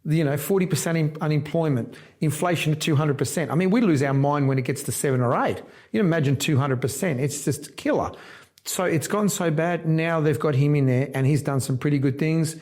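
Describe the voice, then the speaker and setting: A middle-aged man born in Argentina who speaks, sitting as a political guest in a relaxed conversation. His voice sits a little higher than most men's. He talks emphatically and keeps cutting off words to restart them. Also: a little fast.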